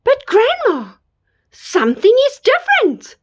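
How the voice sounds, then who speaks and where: A woman voicing an innocent little girl in a children's storybook reading, sounding wide-eyed and bright. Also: very high-pitched, huge pitch swings, measured pace, voice pushed up, full character voice. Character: small innocent young girl.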